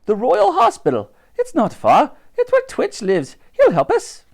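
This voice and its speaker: A narrator plays a good-natured, dotty lady in a children's storybook. Her voice is high and swoops about as she exclaims, excitable and flustered. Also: unhurried, creaky, heavily acted. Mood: eager.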